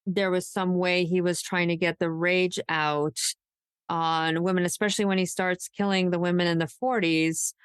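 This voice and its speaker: A woman with a fairly low voice talks as a podcast co-host, in a dry, close recording. Her delivery is conversational and emphatic, speculating out loud and leaning on "like" as she goes. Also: steady tone, moderate pace, smooth, no echo. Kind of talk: casual true crime discussion.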